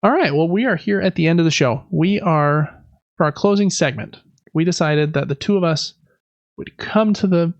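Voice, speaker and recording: A man's voice: on the high side, normal speed, dry recording, highs cut off. A man hosting a casual board game podcast, chatting and explaining things in a conversational way. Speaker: podcast host.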